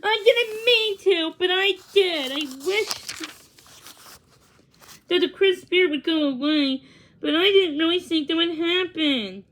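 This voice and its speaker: A woman's voice: very high voice, unhurried, a bit bright, pitched above the performer's own voice. A woman voicing an anxious young boy in a storybook read-along, given to sudden exclamations. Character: anxious young boy who made a bad wish.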